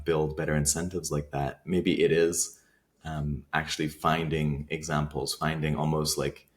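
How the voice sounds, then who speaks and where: Very deep-voiced man from Iran. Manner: thoughtful, measured explaining with fillers. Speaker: podcast co-host. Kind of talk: casual conversational podcast.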